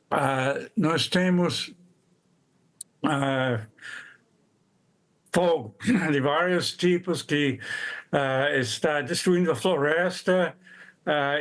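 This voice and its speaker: An older man with an American accent, a native English speaker, giving a scientific presentation haltingly as he searches for words. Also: a little high, a bit bright. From United States. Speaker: biologist and climate scientist. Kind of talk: expert presentation.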